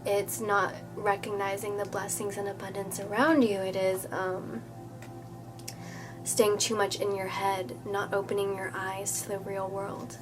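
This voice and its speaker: A woman's voice: mid-range voice, level tone, a little slow, over music. A woman doing a casual tarot reading who sounds a bit nasal from a cold, talking in a chatty, reassuring way with lots of "okay?" and fillers. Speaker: tarot reader.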